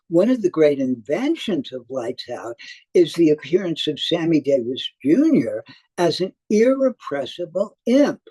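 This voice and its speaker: Give me a male theater critic reading his review slowly and deliberately, with a very animated pitch. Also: husky, no echo, poor audio. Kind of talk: scripted review read aloud.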